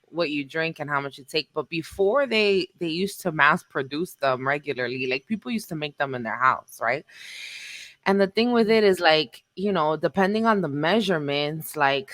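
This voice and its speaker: A woman's voice: sits low, fairly bright tone, dry recording. A woman, chatting animatedly and talkatively as a podcast guest. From New York. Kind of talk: casual chat.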